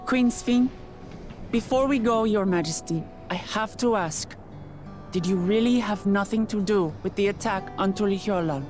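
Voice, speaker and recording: Woman playing a young warrior princess, fantasy game, mid-pitched, direct, earnest, open-hearted, over music. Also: normal speed, darkish tone, natural voice. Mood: earnest, resolute. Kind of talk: scripted fantasy video game acting.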